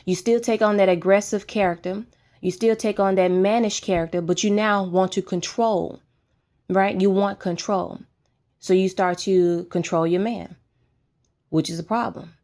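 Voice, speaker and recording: A woman's voice: medium pitch, fairly even intonation, normal speed, darkish tone. A woman giving a casual spiritual testimony, earnest and insistent, who keeps tagging her points with "right?". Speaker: vlogger sharing spiritual testimony. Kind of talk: casual monologue.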